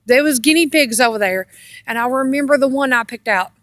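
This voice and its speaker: A woman casually telling rambling personal stories in a halting, meandering way, often repeating herself. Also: high-pitched, a bit bright, dry recording, studio quality. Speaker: storyteller on own video channel.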